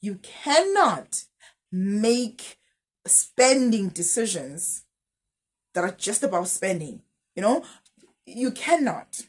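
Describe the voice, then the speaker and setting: Husky woman giving advice. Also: mid-range voice, very animated, slow pace, pauses often. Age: 30s. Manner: direct, advising, piles up rhetorical questions. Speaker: teacher and finance vlogger. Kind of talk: casual money-advice vlog.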